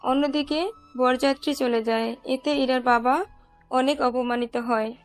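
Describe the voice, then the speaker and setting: A woman narrator with a high-pitched voice tells a scripted romantic story, with faint music underneath. She reads as a storyteller, line by line. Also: steady tone, measured pace.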